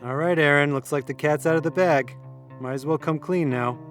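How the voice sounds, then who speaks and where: There is clear music behind an actor as a male amateur researcher in an audio drama. He sounds earnest and a bit defensive, explaining in careful words in a slightly high voice. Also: fairly quick, close to an everyday voice.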